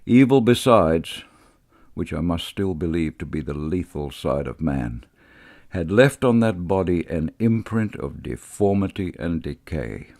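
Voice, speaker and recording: A man voicing a brooding Victorian gentleman doctor in an audiobook, confessing with formal, deliberate reflection. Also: low-pitched, lively intonation. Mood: grave, confessional.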